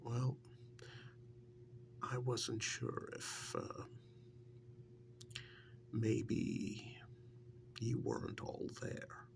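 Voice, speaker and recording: Man acting as a whispering, gravelly, intimate partner. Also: medium pitch, full character voice. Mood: fond, candid. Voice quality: breathy half-whisper with gravelly fry. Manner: halting, intimate, conversational, lightly teasing. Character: soft-spoken former captive fondly reminiscing, teasing, intimate male partner. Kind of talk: soft-spoken ASMR role-play monologue.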